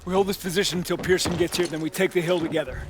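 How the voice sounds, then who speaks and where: A man's voice: high-pitched, steady tone, rapid-fire, lightly acted. A man playing a stern, weary army lieutenant in a war game cutscene, giving clipped orders. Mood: firm. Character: stern, weary American army lieutenant commanding his platoon. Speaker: army lieutenant, squad leader. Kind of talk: video game cutscene acting.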